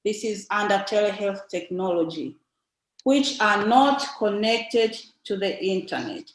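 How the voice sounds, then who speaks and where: A young woman with a Tanzanian accent gives an informative presentation in a halting way, often pausing and repeating words. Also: medium pitch, animated. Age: young adult. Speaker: invited speaker, nun. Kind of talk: informative slide presentation talk. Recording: echoey, roomy sound.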